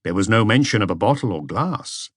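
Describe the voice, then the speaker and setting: Guy reading a detective audiobook, lowish voice, dry studio-like sound, scripted narration. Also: expressive, brisk, no room sound.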